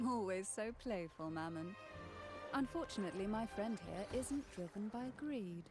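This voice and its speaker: An actress playing a mysterious, alluring potion maker in a video game, with a teasing, measured, theatrical delivery. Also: female voice, mid-range voice, animated, over music, natural voice. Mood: playful, poised. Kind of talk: video game story dialogue.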